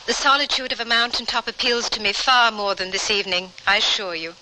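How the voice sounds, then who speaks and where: A woman's voice: on the high side, steady tone, a little fast, sharp tone. An actress playing a polite, composed lady traveler of the Old West in an old-time radio drama. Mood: earnest, concerned. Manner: polite, proper, earnest. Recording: noisy old radio broadcast recording.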